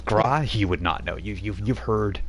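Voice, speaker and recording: A man's voice: fairly low pitch, normal speed. A man running a tabletop game as game master, setting scenes in a loose, rambling way with casual asides.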